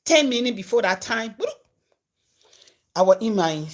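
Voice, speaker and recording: A woman with a Liberian accent tells a story to her followers. Her voice sits a bit high and swings from loud bursts to hushed asides. She talks in a casual, animated way. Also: unhurried. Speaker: comedian and content creator.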